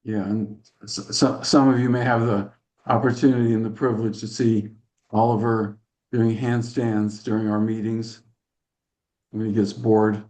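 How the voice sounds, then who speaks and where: A man's voice: lowish voice, flat delivery, a little slow, a little mellow, poor audio. A man hosting a video meeting, calling on people in turn and stammering with repeated words and restarts. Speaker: meeting host. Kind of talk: hosting round of introductions.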